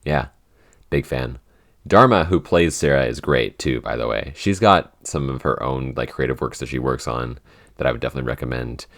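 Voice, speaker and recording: A man's voice: very deep voice, moderate pace. A young man chatting casually as a podcast host, rambling with many fillers and restarts. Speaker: podcast host and show writer. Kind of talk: casual chat.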